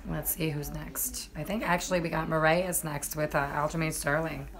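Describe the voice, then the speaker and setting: A slightly hoarse woman hosting a casual live stream about fights, chatting to viewers with lots of fillers. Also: low-pitched, level tone, normal speed. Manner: chatty, many fillers, addresses viewers directly. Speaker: MMA journalist and live-stream host.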